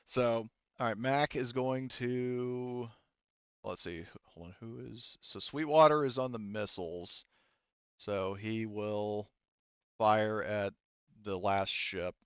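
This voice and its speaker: A man running a tabletop game as game master, narrating and thinking aloud in a halting way. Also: fairly low pitch, steady tone, clear, resonant tone, no echo, lo-fi sound.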